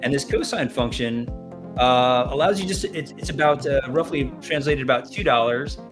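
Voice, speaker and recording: A man's voice: mid-range voice, normal speed. Rambling male co-host over background music. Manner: rambling, with many restarts and chuckles. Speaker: co-host of an online music show. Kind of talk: casual chat demoing a music site. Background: music playing.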